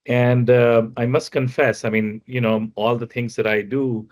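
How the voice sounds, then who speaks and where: A man's voice: medium pitch, level tone, unhurried, a little mellow. A man responsible for AI business introduces himself on a panel in a friendly, modest, conversational way. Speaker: AI business lead.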